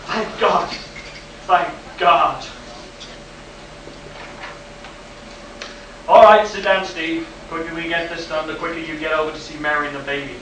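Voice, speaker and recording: A man's voice: fairly high pitch, fairly even intonation, a little fast, a bit bright, close to an everyday voice. Man acting anxious aging control chief, echoey hall. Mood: urgent, relieved. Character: aging male flight control chief, gruff, anxious, guilt-ridden. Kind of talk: amateur live radio play acting. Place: live stage at a convention. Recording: distant, echoey live hall recording with noise.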